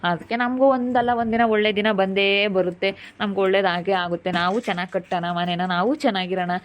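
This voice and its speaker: A woman's voice: mid-range voice, fairly even intonation, fast talker, close to an everyday voice. A woman playing a caring, reassuring husband and brother-in-law in an amateur family drama, speaking in a soothing, coaxing, colloquial way.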